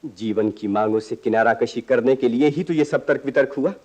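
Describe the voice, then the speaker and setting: A man's voice: mid-range voice, fairly quick, darkish tone, lo-fi sound, lightly acted. A male actor playing an impassioned, stubborn young idealist in a radio play, with earnest, oratorical delivery. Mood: impassioned, lecturing. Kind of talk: Hindi radio play acting.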